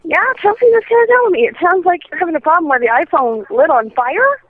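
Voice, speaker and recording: A woman's voice: very high-pitched, very animated, fast talker, crowd noise. A woman on a telephone line, an earnest, talkative customer care supervisor speaking in a reassuring way. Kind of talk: customer service phone call.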